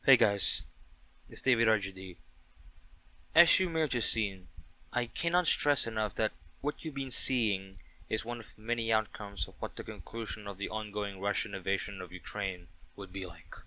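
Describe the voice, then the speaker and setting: Sharp bright male voice, lowish pitch, earnest, rambling, personal video commentary, restarts mid-thought. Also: dry recording, poor audio. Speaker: video creator. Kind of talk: direct-to-listener personal commentary.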